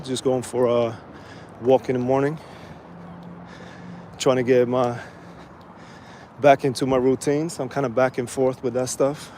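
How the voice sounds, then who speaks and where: A man from Sweden casually chatting to viewers while walking outdoors by the water, relaxed and rambling with many fillers. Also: medium pitch. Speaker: vlogger. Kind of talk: casual live-stream walking vlog. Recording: faint outdoor street noise.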